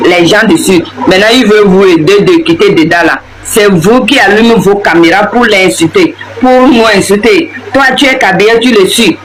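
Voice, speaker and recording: A man with a Togolese French accent, his voice sitting unusually high, scolds his listeners in a heated, repetitive political rant. The recording is noisy, with steady background ambience under his words. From Togo. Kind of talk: impassioned political rant to camera.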